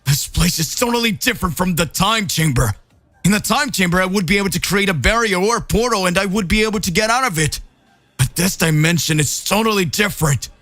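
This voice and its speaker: A man acting out a bold, good-natured hero of an anime-style fight story. His voice is high, bright and excitable, with a forthright delivery. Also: brisk, no echo, faint music behind. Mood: outraged. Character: Goku, eager, bold, good-natured male alien fighter. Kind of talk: dramatised fan story.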